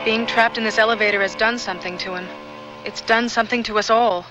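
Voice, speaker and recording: An anxious, frightened office worker , played by an actress in a radio drama. Her voice is high, with music clearly behind it. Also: fairly even intonation, quick pace, dull audio, close to an everyday voice. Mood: worried.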